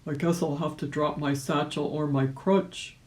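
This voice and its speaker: A woman voicing a polite, determined old gentleman rabbit in a children's storybook, speaking earnestly. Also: low-pitched, steady tone, normal speed, close to an everyday voice. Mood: worried. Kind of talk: children's storybook read-aloud.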